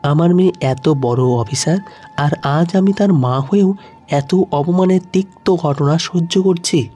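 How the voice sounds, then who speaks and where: A man's voice: on the high side, a little slow, warm tone, soft background music, lightly acted. A man voicing a humble, pleading old mother in a story, meek and polite. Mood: sorrowful.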